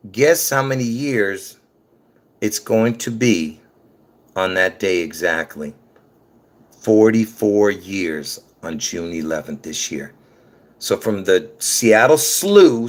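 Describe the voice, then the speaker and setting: A man from Lebanon giving a rambling monologue to his viewers, restarting sentences often and addressing them directly. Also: mid-range voice. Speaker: conspiracy video creator. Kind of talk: rambling casual monologue to camera.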